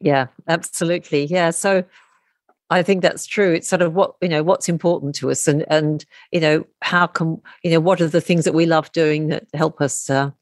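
A woman's voice: sits low, fairly even intonation, moderate pace, darkish tone, dry recording, clean studio sound. An Australian woman, a meditation teacher, speaking thoughtfully as a podcast guest with many "you know"s. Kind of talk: podcast interview.

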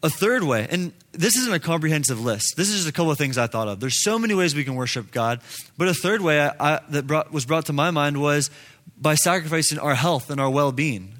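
Male preacher, fairly high-pitched, informal and earnest, talking to a congregation like friends. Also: expressive, a little fast, a bit bright. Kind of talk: casual sermon to a congregation.

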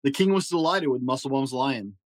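A man narrating a children's picture book as a storyteller, reading aloud in an expressive, unhurried way. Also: medium pitch, no echo. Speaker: storyteller, podcast host.

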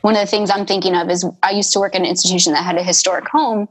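Husky-voiced woman hosting a panel. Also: medium pitch, steady tone, racing. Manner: conversational, asks the panel questions. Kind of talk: casual panel discussion.

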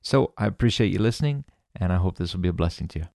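A man from America hosting a podcast, casually introducing an episode in a relaxed, explanatory way. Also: low-pitched, very fast, creaky, no echo, clean studio sound. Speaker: podcast host.

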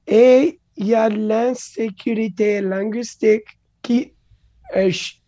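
Slow, halting woman. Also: fairly high pitch, fairly even intonation, mellow, dull audio. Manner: halting, restarts words, mixes English into French, laughs often. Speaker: guest. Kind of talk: casual interview talk.

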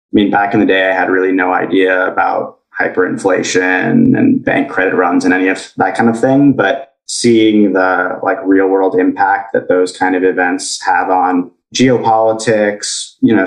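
Low gravelly man with an American accent. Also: reverberant, distant mic, low-quality recording. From grew up in Indonesia. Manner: relaxed, uses "like" and "kind of" a lot. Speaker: guest. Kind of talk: casual interview answers.

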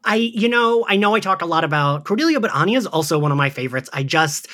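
A man's voice: fairly high pitch, expressive, fast, bright, forceful tone. A man co-hosting a podcast in casual fan chat, rambling along and saying "like" often. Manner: chatty, rambling, heavy use of "like". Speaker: podcast co-host.

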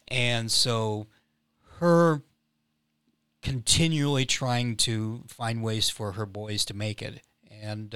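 Playwright guest, reflective and hesitant, bright forceful tone. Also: male voice, mid-range voice, no room sound. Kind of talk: casual podcast chat.

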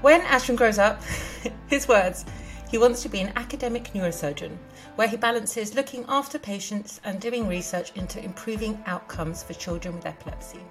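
A woman's voice: mid-range voice, expressive, normal speed, soft background music, low-quality recording. A woman hosting a podcast who introduces her guest in an upbeat, chatty way. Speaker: podcast host.

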